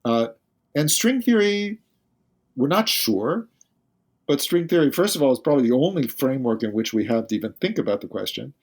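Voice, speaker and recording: A man's voice: medium pitch, animated, brisk, a little mellow, husky. A male physicist, a guest on a podcast interview, who explains carefully and thinks aloud as he speaks.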